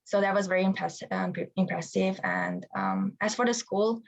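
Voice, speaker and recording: A woman's voice: medium pitch, huge pitch swings, normal speed, gravelly. A young woman from Hungary with a Hungarian accent, a student-athlete answering interview questions in English with many hesitant fillers. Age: young adult.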